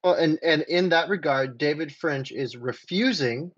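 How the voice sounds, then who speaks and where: Measured man on slightly muffled mic. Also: fairly high pitch, fairly bright tone, dry recording. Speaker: podcast panelist. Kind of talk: casual panel discussion. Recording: slightly dull lo-fi audio, dry.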